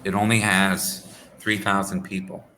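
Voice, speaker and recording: An American man tutoring English over a video call, speaking simply and clearly for a learner. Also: low voice, level tone, noisy background. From United States. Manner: slow, simple phrasing for a learner. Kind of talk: casual conversation lesson. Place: home in Saudi Arabia.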